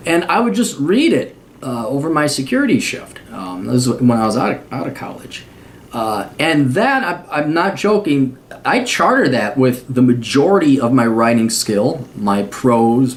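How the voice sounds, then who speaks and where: Sarcastic lively Midwest man. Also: mid-range voice. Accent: American Midwest. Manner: blunt, sarcastic, rambling. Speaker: vlogger. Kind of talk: casual monologue to camera.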